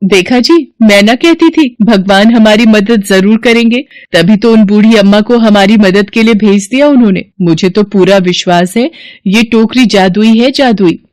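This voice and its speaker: A woman plays a supportive village wife in a cartoon folk tale. She is earnest and expressive, respectful and full of simple faith. The recording is close and dry. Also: mid-range voice, normal speed, few pauses, no room sound, lightly acted. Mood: awed, believing. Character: caring, devout village wife, supportive and easily amazed.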